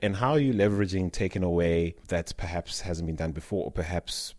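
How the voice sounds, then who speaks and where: A man's voice: sits low, brisk, darkish tone, no room sound. A man hosting an interview, asking questions in a bantering, jokey way. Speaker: podcast host, interviewer.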